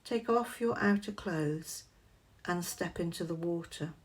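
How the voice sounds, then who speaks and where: Slow-paced woman leading guided meditation. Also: on the low side.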